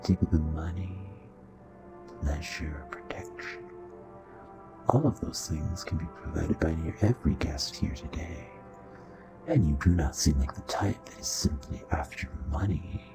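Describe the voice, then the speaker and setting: A man playing a charming, possessive, ancient royal vampire in an intimate romantic audio roleplay, speaking in a courtly, seductive, breathy way. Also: rumbling low, heavily acted. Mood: intimate, admiring. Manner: courtly, seductive, often breathy half-whisper. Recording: soft voice over background music.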